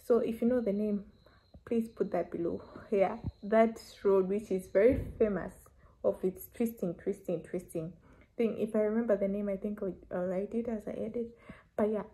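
Dark-voiced young woman vlogging excitedly. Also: mid-range voice, moderate pace, poor audio. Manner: chatty and excited, talks straight to viewers. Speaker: travel vlogger. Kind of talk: casual vlog to camera. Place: small bedroom, indoors. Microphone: phone held at arm's length.

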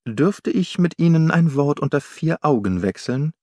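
A man's voice: fairly high pitch, lively intonation, normal speed, warm tone, no echo, clean studio sound, natural voice. A man voicing a courteous, analytical detective in an audiobook, polite and self-possessed. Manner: polite, probing.

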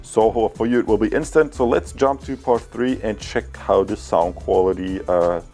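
A man's voice: fairly low pitch, normal speed, dark tone, soft background music. A man giving a casual, informal product review, addressing viewers directly.

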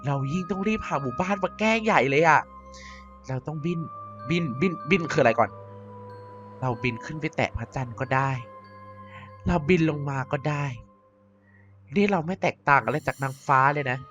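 A woman's voice: low-pitched, animated, moderate pace, long pauses. A woman as a vain vampire queen. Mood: scheming. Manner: theatrical, self-important monologue. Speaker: narrating YouTuber doing all voices. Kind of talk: Minecraft cartoon voice acting. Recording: slightly dull with faint music.

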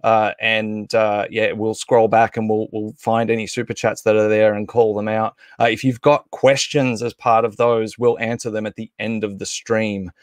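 A man's voice: fairly low pitch, little pitch movement, normal speed, few pauses, clear, resonant tone, no echo, clean studio sound. A man in his thirties or forties hosting a livestream, casually making announcements and introductions in an off-the-cuff, hesitant way. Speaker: livestream host.

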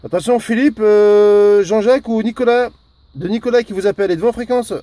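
High-pitched man hailing on CB radio. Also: mellow. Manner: repetitive, halting, formulaic announcing. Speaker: amateur radio operator. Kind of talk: CB radio calling, formal procedural hailing.

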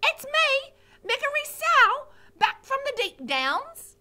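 A shrill, high-pitched young servant girl with a dull, drawling way of talking, as a woman reads her lines aloud in a storybook. She sounds slow and simple, stretching out her words. Her voice swings widely up and down. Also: very animated, sharp tone, slight background noise, exaggerated voice.

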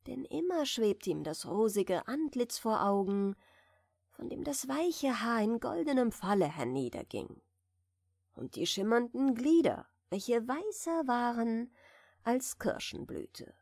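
A woman narrates a bedtime fairy tale in a soft, soothing way. Her voice sits a little high and moves with lively melody, at a very unhurried pace. She reads gently, as if easing a listener to sleep. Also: dry recording, clean studio sound. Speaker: audiobook reader.

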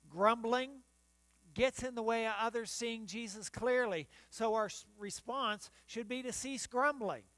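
A man's voice: high-pitched, fairly bright tone, no room sound. An older man, a pastor, preaching a sermon in a church sanctuary and often restarting his phrases. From United States. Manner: preaching while standing and gesturing, with frequent restarts. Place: church sanctuary in front of the altar.

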